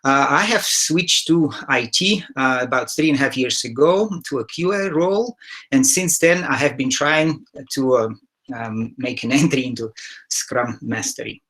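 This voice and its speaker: Halting headset-mic man hosting a session. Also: medium pitch, a bit bright. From Ukraine. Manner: halting, many filler sounds, occasional throat clearing. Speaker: interview host. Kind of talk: moderating an online session. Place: home room, indoors. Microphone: headset mic on a video call.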